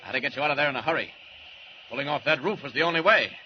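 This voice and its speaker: An actor playing a calm, commanding superhero from another world in a 1940s radio drama, speaking in formal, measured declarations. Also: male voice, medium pitch, natural voice. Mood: reassuring. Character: calm, commanding flying alien superhero, grown man. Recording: muffled, noisy old radio recording.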